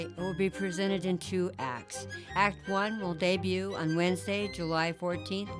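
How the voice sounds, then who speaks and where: Female podcast host: lowish pitch, deliberate scripted announcing, music bed underneath. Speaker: host introducing a podcast audio play. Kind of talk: scripted narration.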